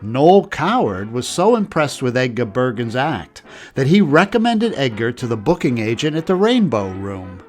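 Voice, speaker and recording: Brief: man narrating a documentary, even delivery, faint music under him. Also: medium pitch. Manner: steady, measured storytelling. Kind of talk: scripted narration.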